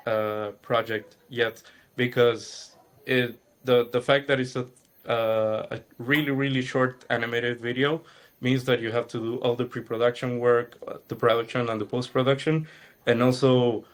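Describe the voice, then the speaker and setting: A young man from Guatemala, an animation student, talks in a relaxed, earnest way about his student project during a video-call webinar. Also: mid-range voice. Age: young adult. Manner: relaxed and earnest, with some fillers. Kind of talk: casual account given in a webinar.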